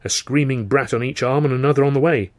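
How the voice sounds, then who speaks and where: A man's voice: mid-range voice, quick pace, dry recording, pitched above the performer's own voice, close to an everyday voice. A man acts out a young woman dancer in an audiobook. She is flighty and teasing, rambling breezily with little tag questions. The sound is close and dry. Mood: wry. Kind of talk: acted audiobook dialogue.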